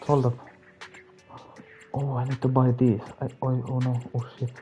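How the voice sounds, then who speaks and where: A man's voice: mid-range voice, completely flat delivery, normal speed, mellow. A man giving casual live commentary as he plays a game, mostly talking to himself in short bursts. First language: Finnish. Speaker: game streamer. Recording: game music behind. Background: video game music.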